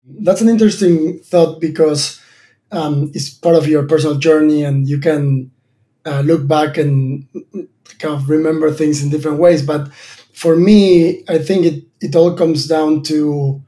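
A man's voice: on the high side, steady tone, a little slow, warm tone. A man in his thirties from Argentina, a startup founder answering interview questions thoughtfully, with many fillers and restarts. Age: 30s.

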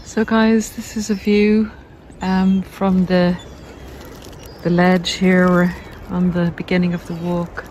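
Low-pitched Irish woman talking outdoors. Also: little pitch movement, slow pace, darkish tone. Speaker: podcast host and narcissism recovery coach. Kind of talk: casual walk-and-talk monologue. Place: outdoors on a coastal cliff walk. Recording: noisy outdoor handheld recording.

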